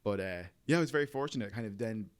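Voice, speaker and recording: A man's voice: fairly low pitch, fast. A man in his thirties with an Irish accent, chatting casually as a podcast guest, rambling with plenty of fillers. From Ireland. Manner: rambling, full of fillers like "you know". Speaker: guest, tattoo artist.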